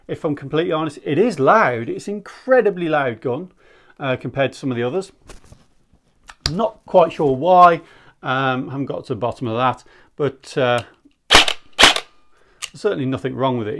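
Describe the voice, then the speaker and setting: A man's voice: medium pitch, fairly bright tone. A man giving a casual, candid product review in a conversational, rambling way. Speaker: airsoft gear reviewer.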